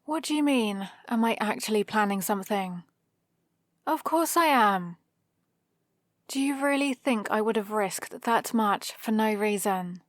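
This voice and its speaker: A British woman playing a proud, scheming supervillain with a soft spot, in a teasing, intimate ASMR roleplay. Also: medium pitch, lively intonation, unhurried, no echo, studio quality, close to an everyday voice. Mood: proud, evasive. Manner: teasing, sardonic, casually conversational. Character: proud scheming female supervillain, gruffly caring for a rescued hero.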